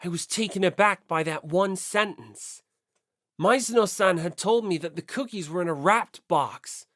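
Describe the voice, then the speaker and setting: A woman's voice: fairly low pitch, normal speed, fairly bright tone, dry recording, studio quality. A woman reading first-person scripted story narration as an audiobook narrator.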